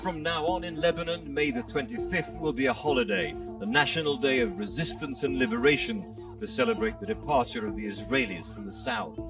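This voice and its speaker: A man's voice: mid-range voice, expressive, brisk. A man delivering a formal announcement in a news clip. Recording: far-off microphone, music over it.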